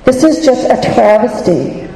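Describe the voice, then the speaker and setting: A woman's voice: fairly high pitch, very animated, measured pace, very noisy. A woman giving impassioned, indignant testimony at a public hearing. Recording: echoey hall with long reverb.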